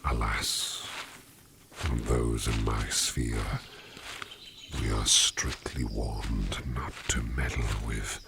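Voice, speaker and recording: A man playing a courteous, secretive sleep demon in disguise for an ASMR roleplay, speaking in formal, old-fashioned, halting phrases in a breathy near-whisper. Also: a bass voice, fairly even intonation, noisy background, heavily acted. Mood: secretive, wistful. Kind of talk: soft-spoken ASMR roleplay addressed to the listener.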